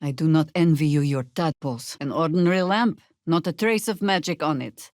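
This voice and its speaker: An actress playing a seasoned, sardonic older female druid warrior in a fantasy video game, wry, commanding and deliberate. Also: sits low, darkish tone, dry recording, clean studio sound, natural voice.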